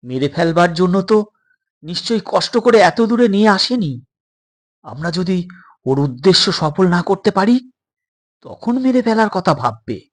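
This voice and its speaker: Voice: medium pitch, sing-song, measured pace, long pauses. A storyteller voicing a brave but worried captive boy, speaking with uneasy urgency in an audio story. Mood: wary. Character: brave, worried adolescent boys held captive, reasoning together. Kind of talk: dramatized audio story dialogue.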